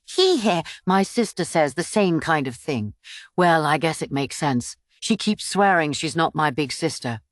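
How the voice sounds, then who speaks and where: A mischievous young woman of the storybook, played by a female reader, playful on top and quietly resolute underneath. Her pitch moves in very lively swoops, and the sound is dry and close. She teases softly, with sadness held back. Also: a little slow, no echo. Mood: sly, musing.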